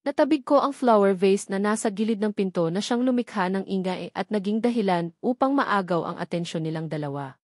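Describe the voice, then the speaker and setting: A woman's voice: medium pitch, brisk, a little mellow, no echo, clean studio sound. A woman reading a romance novel aloud as a dramatic first-person narration. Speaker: audiobook narrator.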